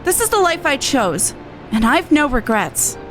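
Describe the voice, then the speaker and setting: An earnest young tribeswoman, read by a woman for a fantasy game, speaks in a high, expressive voice. Her dutiful, resistance-veteran character comes through in animated delivery, with music playing behind. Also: unhurried. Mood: earnest, resolute. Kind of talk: video game dialogue read aloud.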